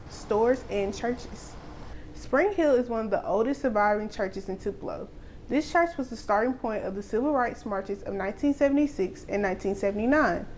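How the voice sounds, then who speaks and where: A woman's voice: a little high, a little mellow, slight background noise, rough recording. A woman presenting a school program, reading scripted narration.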